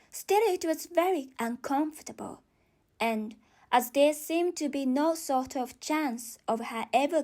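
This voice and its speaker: Slow, high-pitched woman narrator with non-native accent. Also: darkish tone. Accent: non-native English. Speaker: audiobook narrator. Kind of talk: scripted children's story narration.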